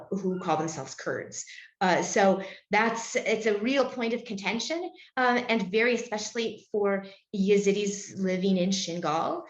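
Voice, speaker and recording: A woman speaks slowly and carefully, filling her pauses with "uh" and "um" and often starting her sentences over. Her voice comes through a dull, lo-fi call that sounds like a roomy space. Her pitch sits in a middle range. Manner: hesitant, heavy with fillers and restarts. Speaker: Benedictine nun, interview guest. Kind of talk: careful conversational answers.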